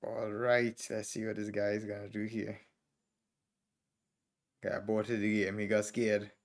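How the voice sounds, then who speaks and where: Chess master with a Trinidadian accent, a man with a lowish voice, thinking aloud through moves in short bursts. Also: monotone, measured pace. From Trinidad and Tobago. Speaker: chess master streaming game reviews. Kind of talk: live commentary on chess games.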